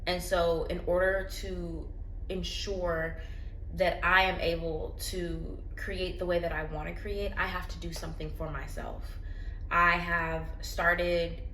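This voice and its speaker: A woman's voice: lowish voice, flat delivery, slow pace, sharp tone. A woman talking candidly into her phone at home in a personal vlog, earnest and reflective. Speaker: vlogger. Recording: roomy echo, faint background noise.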